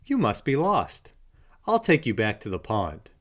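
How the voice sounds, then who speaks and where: A man voicing an eager, well-meaning young cartoon cat in an animated, exclamatory way for a storybook. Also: medium pitch, a little slow, full character voice. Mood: helpful.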